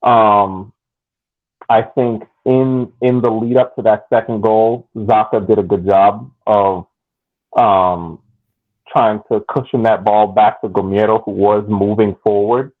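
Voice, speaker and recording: A man's voice: lowish voice, fairly even intonation, slow. A man co-hosting a soccer podcast, breaking down the play in a thoughtful, repetitive way. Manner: thoughtful, repeats phrases for emphasis. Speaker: podcast co-host. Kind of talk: casual soccer analysis chat. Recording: tinny phone-like line.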